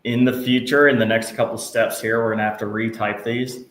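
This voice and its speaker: A patient male instructor leads a live class through each click of a software tool. His voice carries a roomy echo, with a faint hiss underneath. He reassures students often. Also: medium pitch, level tone, a little fast. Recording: echoey room, faint noise.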